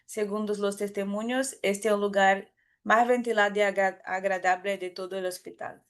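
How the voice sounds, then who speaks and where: A woman with a Brazilian Portuguese accent, a native Portuguese speaker, giving a conference talk in a careful, halting way with frequent restarts and self-corrections. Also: medium pitch, little pitch movement. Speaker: academic presenter.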